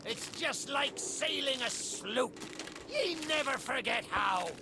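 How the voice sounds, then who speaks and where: An actor playing a greedy, roguish old skull in a video game, with a theatrical storytelling manner. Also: male voice, extremely high pitch, lively intonation, takes it slowly, background noise, full character voice. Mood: scheming, helpful. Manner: theatrical storytelling, archaic pirate dialect. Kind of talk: video game character acting.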